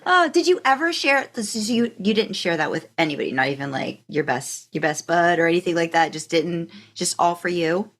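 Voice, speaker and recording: A woman with a slightly low-pitched voice hosts a relaxed, conversational interview. She thinks out loud, halting and rephrasing as she goes, and affirms her guest in an encouraging way. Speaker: podcast host interviewing a guest.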